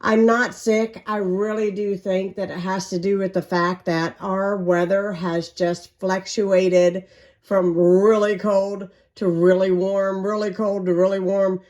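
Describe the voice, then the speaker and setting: A woman, chatting casually to viewers while cooking at home, her voice slightly hoarse and her talk rambling with many ums. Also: medium pitch, level tone, slow pace, darkish tone. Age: 40s. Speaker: home vlogger. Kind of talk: casual catch-up and cooking chat.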